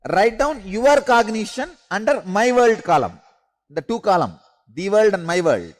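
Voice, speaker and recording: A man, a Tamil speaker, leading a spiritual class with slow, repetitive instructions and emphatic rises. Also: high voice, very animated. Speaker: spiritual teacher.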